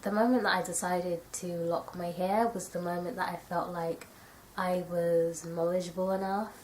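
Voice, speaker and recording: Young girl vlogging with a stuffy cold, bit raspy, lowish voice, chatty and rambly, faint noise. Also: steady tone, normal speed, a little mellow, rough recording. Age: young adult. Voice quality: slightly raspy. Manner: chatty, thoughtful, rambling with fillers. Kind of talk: casual tag video talk to camera.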